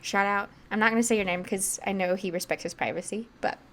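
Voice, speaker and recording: A woman's voice: mid-range voice, fast, fairly bright tone, a little hiss or hum. A woman co-hosting a podcast, chatting casually and a bit rambling, slightly congested. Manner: chatty and rambling, many fillers. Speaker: podcast co-host.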